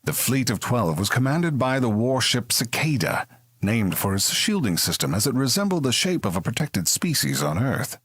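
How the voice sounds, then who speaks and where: A man's voice: medium pitch, sing-song, normal speed, fairly bright tone, breathy edge, dry recording, clean studio sound. A man playing a grand narrator and announcer in a comedy space audio drama, with a theatrical, scripted delivery. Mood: grave, epic. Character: grand sci-fi narrator and slick future advertising announcer.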